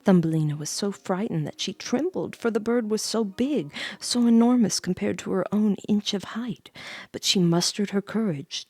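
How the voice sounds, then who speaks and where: A woman's voice: mid-range voice, mellow, dry recording. A woman streamer reading a bedtime story aloud, dropping in chatty asides of her own.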